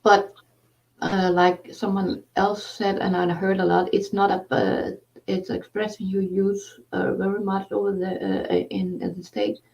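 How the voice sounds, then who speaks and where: A woman host with a Danish accent, talking in halting casual conversation with many fillers. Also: medium pitch, vocal fry, darkish tone. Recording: distant, dull lo-fi sound.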